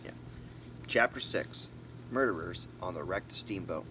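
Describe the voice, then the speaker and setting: Amateur male audiobook narrator: lowish voice, casual storybook delivery in broken phrases, noisy homemade recording. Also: a little slow. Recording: noisy, distant mic, vehicle-like background hum.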